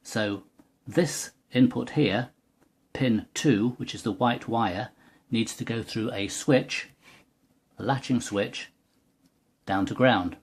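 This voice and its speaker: A man's voice: mid-range voice, slow pace, long pauses, husky. A man giving a methodical, precise step-by-step technical demonstration as a tutorial presenter. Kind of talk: step-by-step hands-on product demonstration.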